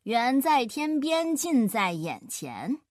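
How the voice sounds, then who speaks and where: A woman playing a sly, witty trickster man in a children's story, with a deadpan, teasing manner. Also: high voice, slow, no echo, studio quality, full character voice. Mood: cool.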